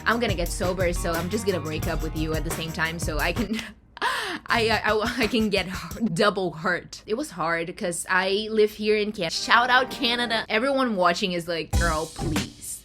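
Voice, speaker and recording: A woman's voice: on the low side, moderate pace, over music. A woman in her twenties from Brazil with a Brazilian accent, talking casually in a confessional vlog, chatty and rambling with lots of asides. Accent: Brazilian Portuguese. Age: 20s. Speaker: vlogger.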